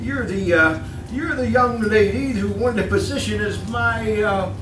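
An actor in an old-time radio drama playing a fussy, smarmy swindler posing as a genteel employer, stammering, repeating himself and chuckling nervously. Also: male voice, high-pitched, measured pace. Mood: oily, fussy. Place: live theatre stage. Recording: roomy distant live stage, music behind.

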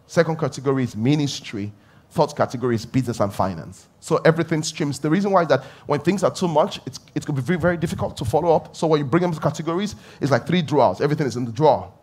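A middle-aged male pastor preaching a conversational sermon, repeating key phrases and rising in emphatic bursts. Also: a little high.

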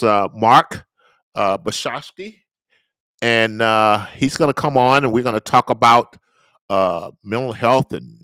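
A man hosting a casual podcast interview, speaking haltingly with many fillers. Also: medium pitch, fairly bright tone, no room sound. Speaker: podcast host.

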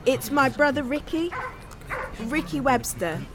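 High-voiced, earnest searching sister. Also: female voice, lively intonation, measured pace, over music. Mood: hopeful, pleading. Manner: earnest, questioning. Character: anxious, polite woman searching for her lost brother. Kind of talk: naturalistic audio-drama dialogue.

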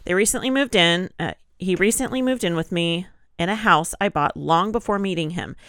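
A woman with a slightly low voice talks informally as a podcast co-host. She sounds a bit congested and nasal, as if from allergies, and moves between loose chat and reading posts aloud. The sound is close and dry. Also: fairly quick, fairly bright tone, no echo. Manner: informal, chatty.

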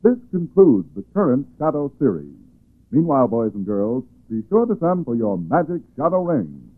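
A man's voice: medium pitch, big pitch swings, moderate pace. A man announcing sponsor messages in 1940s radio style, with polished, emphatic salesmanship. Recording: tinny, band-limited 1940s radio recording.

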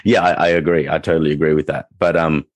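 A man with a deep, low voice talks through his thoughts on the trade in a loose, rambling way, circling back with restarts and "like"s. The sound is dry and close, with no room around it. He speaks as a working dog trainer in an easygoing podcast chat. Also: little pitch movement, quick pace, no echo. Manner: rambling, frequent restarts and fillers.

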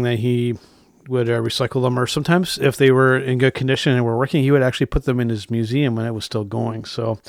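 A man's voice: mid-range voice, clean tone, no echo, clean studio sound. Rambling, blunt man hosting a podcast. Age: 30s. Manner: rambling, frequent restarts and "and whatnot"; blunt, swears when irritated. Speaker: podcast host. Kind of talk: casual solo tech podcast talk.

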